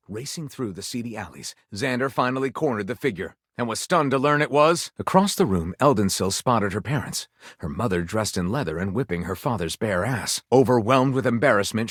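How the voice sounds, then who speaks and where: A man's voice: medium pitch, a bit bright, no room sound, clean studio sound. A man voicing an overwrought game narrator who tells lurid pulp fantasy in a dramatic, suspenseful style. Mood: suspenseful, sultry. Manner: theatrical, sweeping, suspenseful delivery. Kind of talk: dramatic scripted storytelling.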